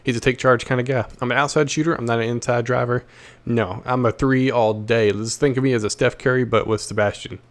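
A male gaming host with a medium-pitched voice. He comments casually on what he is playing, thinking aloud in an easygoing way. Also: fairly even intonation, brisk.

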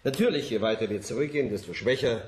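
An actor playing an assured doctor in a radio play, explaining things with emphasis. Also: male voice, mid-range voice, quick pace, reverberant, a little hiss or hum, natural voice.

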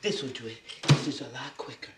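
An actor playing a flustered new father trying to calm his crying baby, frantic and half-laughing. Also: mid-range voice, brisk, echoey room, recorded from a distance, noisy background, natural voice. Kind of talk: film comedy acting.

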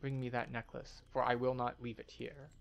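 Man voicing a formal, gravelly expedition scholar. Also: mid-range voice, little pitch movement, natural voice. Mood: grave, pleading. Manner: formal, measured storytelling.

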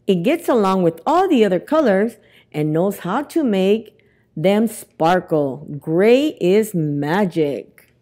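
A middle-aged woman reads a picture book aloud for young children. Her mid-pitched voice moves up and down with lots of expression. She takes her time, giving each phrase a slow, deliberate delivery. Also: animated, warm tone. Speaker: library storytime reader. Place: indoors in a library, among bookshelves. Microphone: lapel mic.

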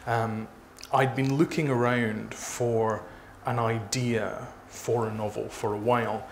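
A man in his thirties speaking haltingly as an author being interviewed on stage. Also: mid-range voice, level tone, gravelly. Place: small dark stage before a seated audience. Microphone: lapel mic. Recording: noisy live event room recording.

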